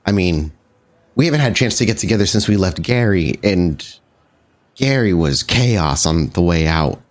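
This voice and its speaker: A man voicing a wary, caring female vampire who speaks in halting, earnest bursts with many restarts. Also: low-pitched, expressive. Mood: concerned. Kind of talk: in-character roleplay dialogue.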